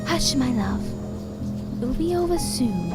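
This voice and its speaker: A woman's voice: high-pitched, big pitch swings, a little slow. A woman playing a scheming, commanding living doll in an audio drama, sweetly menacing with formal, theatrical phrasing. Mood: commanding, soothing. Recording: music under the voice.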